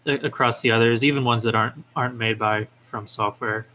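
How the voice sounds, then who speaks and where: Guy being interviewed on a podcast, bright forward voice, kinda halting, bit of background noise. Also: medium pitch, fairly even intonation. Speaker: podcast guest, independent game developer. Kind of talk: casual interview chat. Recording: clear background noise, room ambience.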